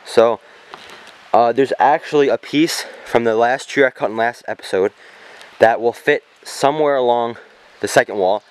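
A man's voice: mid-range voice, moderate pace, clear, resonant tone. A young man giving plain, matter-of-fact commentary as he works outdoors in a forest, recorded on a camera mic. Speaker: bushcraft vlogger. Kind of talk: casual narration while working. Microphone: camera mic on a tripod or handheld.

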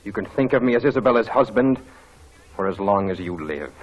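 An actor playing a brooding, bitter, passionate lover in an intense, melodramatic old radio drama style. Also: male voice, on the low side, animated, fairly quick, muffled recording, lightly acted. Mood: vengeful. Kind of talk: 1940s radio drama acting. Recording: noisy old radio broadcast.

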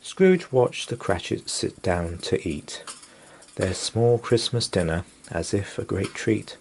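A man reading a rhyming children's story aloud as a storyteller, with deliberate, theatrical expression. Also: fairly low pitch, gravelly, a little mellow, a little hiss or hum. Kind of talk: dramatic rhyming bedtime story narration.

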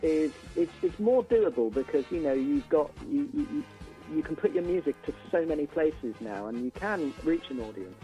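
A man's voice: fairly high pitch, big pitch swings, moderate pace, warm tone, soft background music, rough recording. An older man, a band musician, talking casually as a radio interview guest, opinionated and repeating words as he builds his point.

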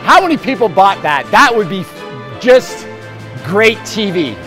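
American man coaching a gym group, higher-pitched voice. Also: sing-song, unhurried, pushed and bright, soft background music. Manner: emphatic, informal. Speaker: gym instructor leading a challenge. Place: large gym hall with onlookers.

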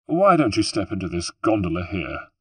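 Storybook role: weak, sly middle-aged husband acted by a man, low voice, meek and wheedling, dry close sound. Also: very animated, normal speed, a bit bright, slightly rough, no echo, full character voice. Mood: cunning.

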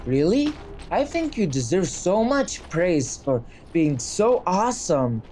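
A woman's voice: lowish voice, big pitch swings, takes it slowly, dark tone, soft background music. A woman voicing a sweet, earnest cartoon sidekick in a comic dub. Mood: admiring. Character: doubtful but sweetly admiring cartoon packet character. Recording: clear background noise.

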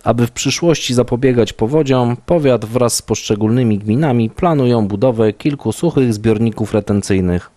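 Dry, mellow male news voice-over. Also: mid-range voice, moderate pace, hardly any pauses, no echo. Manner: smooth, even read. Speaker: news reporter. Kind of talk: scripted news narration.

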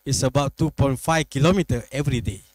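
Halting middle-aged man from Malaysia. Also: mid-range voice, dry recording. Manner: halting, restarts phrases. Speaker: guest professor. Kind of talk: interview answers. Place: studio set.

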